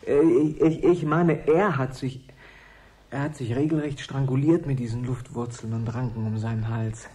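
An actor in a German radio play playing an earnest, shaken man who speaks haltingly. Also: mid-range voice, steady tone, mellow, husky, natural voice. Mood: shocked. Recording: 1980s radio drama, faint noise.

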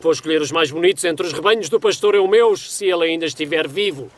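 A man with a European Portuguese accent playing a proud, middle-aged Greek hero king in a dubbed animated film, speaking formally and theatrically. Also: on the high side, quick pace, natural voice. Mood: reverent, hopeful. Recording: film soundtrack with music underneath.

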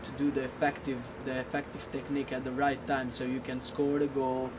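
A man's voice: monotone, a little fast. Romanian-accented young man over outdoor noise. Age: 20s. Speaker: assistant football coach being interviewed. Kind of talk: interview answers. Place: outdoors in front of bushes. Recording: noisy outdoor recording with vehicle rumble.

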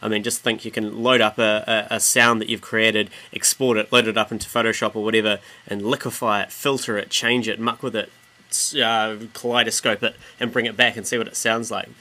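A man talks through a music production lesson in a casual, informal way. His voice is very bright and forward, sitting slightly low in pitch. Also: little pitch movement, a little fast. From New Zealand. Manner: informal, mildly sweary. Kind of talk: casual screen-recorded software tutorial. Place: indoors, voice-over on screen recording.

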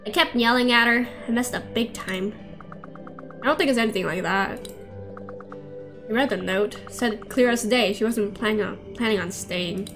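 Higher-voiced young woman streaming a game. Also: moderate pace. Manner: casual, stumbles and rereads lines. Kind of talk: livestream read-aloud of visual novel dialogue with casual asides. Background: game music.

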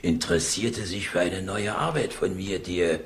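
An actor playing a frail, courteous old professor in a 1960s radio crime drama, speaking in a halting, patronizing way. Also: male voice, sits low, a little hiss or hum. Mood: evasive. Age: older.

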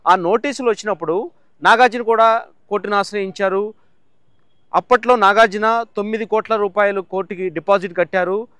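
A man's voice: high voice, steady tone, brisk, fairly bright tone, clean tone, dry recording. A man reporting the news from a site, explaining step by step with fillers and restarts.

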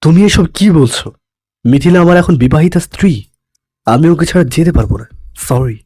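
A man plays a devoted young husband in an audio love story. The voice is mellow and dry, with little room sound. He is determined and possessive, speaking firmly. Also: a little high, animated, moderate pace, husky, dry recording. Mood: defiant.

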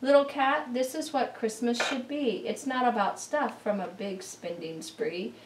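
A woman's voice: fairly high pitch, measured pace, a little hiss or hum. A woman voicing a kindly, sentimental old lady from a storybook, speaking earnestly in rhyme. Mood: gently earnest. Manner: gentle, earnest, rhyming speech. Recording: roomy, distant camera recording.

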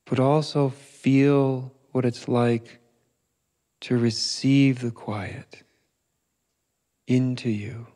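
A male meditation teacher guides listeners with a soft, relaxed tone. His pitch stays very even, and he moves slowly through short phrases, leaving long, unhurried pauses between them.

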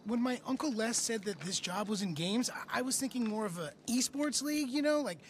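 A man's voice: very high-pitched, animated, brisk, slight background noise. A stammering, entitled young guy. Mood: whiny. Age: young adult. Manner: stammering, whiny.

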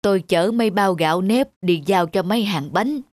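A man's voice: high voice, normal speed, no echo, lightly acted. A man voicing a weary old rice porter in a cartoon, polite, humble and plaintive. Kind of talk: cartoon dubbing.

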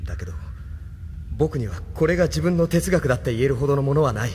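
A man's voice: medium pitch, expressive, quick pace, darkish tone, close to an everyday voice. A man playing an earnest, self-doubting young hero in a Japanese audio drama, sounding troubled. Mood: pensive. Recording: long hall reverb, faint noise.

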